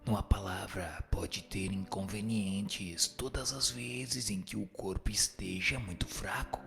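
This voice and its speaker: Over clear background music, a man plays a wise male spirit with a low, creaky voice. He teaches with calm, solemn authority in a measured radio-drama delivery. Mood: solemn, instructive. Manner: measured, didactic. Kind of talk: scripted spiritist radio drama, answering questions. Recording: music playing under the voice.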